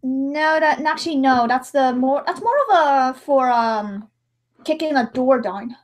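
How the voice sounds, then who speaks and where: High-pitched woman chatting casually on a livestream. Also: unhurried. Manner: rambling, theatrical, with sudden mock-dramatic outbursts. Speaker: livestream host and amateur voice-over editor. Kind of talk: casual livestream chat while editing.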